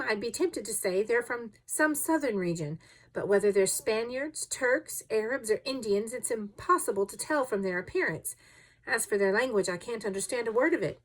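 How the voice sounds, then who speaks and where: A reasonable professor from an adventure storybook, voiced by a woman. He talks in a courteous, formal way, keeping a measured and reassuring manner. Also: a little high, expressive, poor audio. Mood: reasoning. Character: reasonable male French professor, formal, calming his companions.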